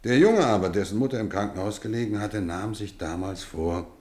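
A middle-aged man playing a kindly but strict boarding-school housemaster in a 1950s radio play, talking warmly with measured, gently teasing phrasing. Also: on the low side, animated, lightly acted. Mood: storytelling. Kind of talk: 1950s radio drama acting. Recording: roomy studio echo.

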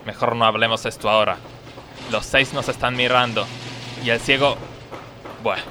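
Guy as an earnest argentine workmate, bit dreamy and careful, average pitch, noisy radio sketch. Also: steady tone, normal speed, close to an everyday voice. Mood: cautious, conspiratorial. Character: earnest, dreamy, cautious male factory worker. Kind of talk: radio comedy sketch acting.

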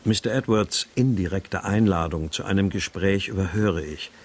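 An actor playing a melancholy husband and writer who narrates a radio crime drama in a restrained, introspective way. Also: male voice, deep voice, moderate pace, slightly rough. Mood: restrained, brooding. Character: melancholy husband and writer narrating his missing daughter's story.

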